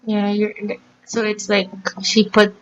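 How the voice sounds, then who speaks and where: A woman's voice: medium pitch, monotone, slow, slight background noise. Filipino female teacher, playful teasing co-host, dull lo-fi sound. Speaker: podcast co-host. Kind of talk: casual chat.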